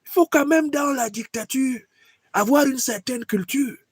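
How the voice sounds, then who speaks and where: High-pitched old dictator, weary and imperious. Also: male voice, a little slow, husky, no room sound, clean studio sound, heavily acted. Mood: imperious, scolding. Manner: exclaiming, scolding, repeats himself. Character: old dictator grand master, weary, imperious, mocking mentor. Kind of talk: satirical political skit.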